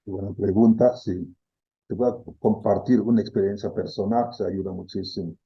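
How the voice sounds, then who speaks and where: A man's voice: on the low side, steady tone, normal speed, creaky, slightly rough, dull audio. A middle-aged man from the Democratic Republic of the Congo, a philosophy professor explaining ideas thoughtfully, often checking in with "¿sí?". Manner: thoughtful, repeats key words, frequent "¿sí?" check-ins. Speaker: philosophy professor, interview guest. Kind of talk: reflective explanation.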